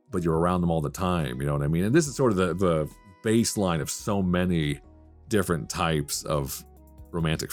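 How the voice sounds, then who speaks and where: A man's voice: deep voice, a little mellow. A man hosting a solo podcast in a casual, conversational way, speaking with enthusiasm and emphasis. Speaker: podcast host.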